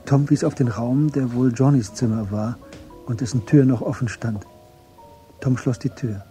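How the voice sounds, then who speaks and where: A man's voice: medium pitch, steady tone, normal speed, mellow, soft background music. A man reading scripted literary narration as the narrator of a German radio drama.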